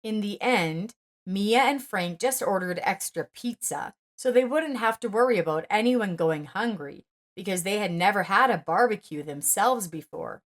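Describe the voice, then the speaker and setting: A woman narrating a scripted story in an even, measured reading. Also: mid-range voice.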